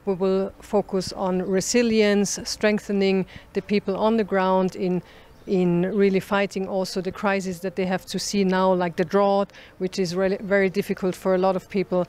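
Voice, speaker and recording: An ambassador from Austria giving an interview statement, listing points in repeated phrases. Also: male voice, high voice, little pitch movement, normal speed, darkish tone, clear, resonant tone. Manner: repetitive, list-like phrasing.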